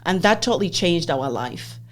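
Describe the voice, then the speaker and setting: Woman in her 40s from zambia, low-ish voice, hosting her own podcast, telling her life story. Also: expressive, normal speed. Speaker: podcast host. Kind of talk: personal interview conversation.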